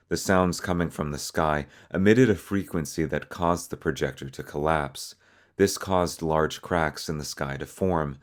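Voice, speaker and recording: A man hosting a video, narrating and explaining a fictional horror story. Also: rumbling low.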